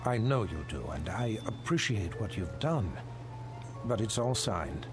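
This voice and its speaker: Animated, practical museum owner. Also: male voice, fairly low pitch, unhurried, music in the background. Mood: firm, reasoning. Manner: reasoning, persuasive, dismissive. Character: practical, brisk museum owner, an old friend. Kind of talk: audio drama acting.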